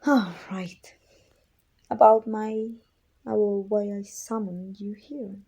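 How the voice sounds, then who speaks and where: A woman playing a proud, weary evil queen and sorceress in an ASMR roleplay, with a husky, breathy voice and an imperious, deliberate delivery. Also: medium pitch, steady tone, dark tone, exaggerated voice. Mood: exasperated, commanding. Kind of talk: scripted ASMR roleplay acting.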